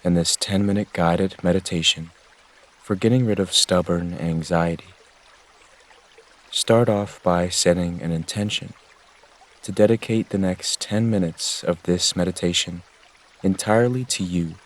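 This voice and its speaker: A man gently guiding a meditation with measured, soothing instructions. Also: low-pitched. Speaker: meditation guide. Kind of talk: guided meditation narration.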